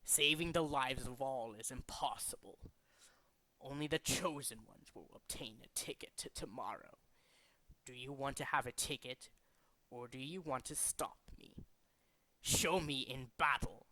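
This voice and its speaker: A man voicing a grandiose, zealous villain leader in a video game, speaking in a deliberate, pompous, theatrical way. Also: mid-range voice, bright, forceful tone, dry recording, rough recording. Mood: cold, commanding. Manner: deliberate, dramatic, pompous declaiming. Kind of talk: theatrical video game character demo reel.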